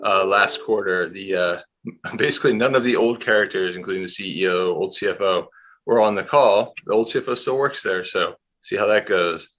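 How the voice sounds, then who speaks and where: A man's voice: sits low, normal speed, a bit bright. A man working as an industrials analyst, giving conversational market commentary in a rambling, candid way with dry asides.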